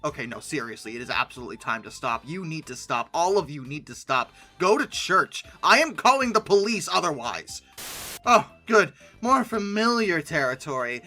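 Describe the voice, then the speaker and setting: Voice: medium pitch, very animated, normal speed, sharp tone, faint music behind. A person giving humorous reaction commentary in a sarcastic, exasperated manner. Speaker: host of a commentary video.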